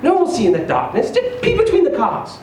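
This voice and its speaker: A man voicing a brisk, dismissive older wife with a scolding, practical manner in a story reading. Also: very high-pitched, wildly swooping pitch, a little mellow, pitched above the performer's own voice, exaggerated voice. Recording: echoey room, distant mic, faint noise.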